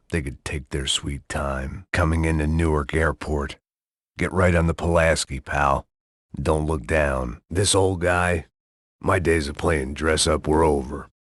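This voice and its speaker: A burned-out middle-aged ex-cop, his gravelly voice sitting very low. He speaks in dry, sardonic noir monologue for a video game, recorded close and dead dry. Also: dry recording. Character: weary hard-drinking ex-cop, middle-aged man, cynical. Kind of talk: noir inner monologue and gameplay barks.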